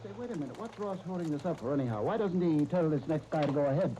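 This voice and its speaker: A man's voice: a little high, expressive, fast, dark tone, close to an everyday voice. An actor playing a brash, impatient, hearty theater company man in a 1930s radio drama. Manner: hearty, blustering, eager. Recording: muffled 1939 radio broadcast recording with noise.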